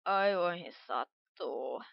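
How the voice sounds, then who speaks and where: A woman voicing a brash, excitable young flying pony in a cartoon fan dub, talking in a blunt, impulsive, slangy way. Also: fairly low pitch, gravelly, slightly rough, dry recording, heavily acted. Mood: confused, exasperated. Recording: dull lo-fi home recording.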